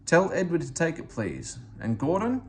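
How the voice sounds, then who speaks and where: A brisk, dismissive storybook railway boss, put on by a man reader. He speaks with authority in a raised, animated pitch. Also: slight background noise. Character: brisk, dismissive male railway controller in charge.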